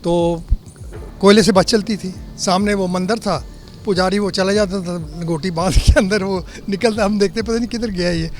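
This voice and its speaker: A man in his eighties, born in Delhi and living in Lahore, recalls his childhood migration as an interviewee, telling it in an unhurried way with plain homely detail. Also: high-pitched, steady tone, faint music behind. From born in Delhi, lives in Lahore. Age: 80s. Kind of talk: reminiscing eyewitness account.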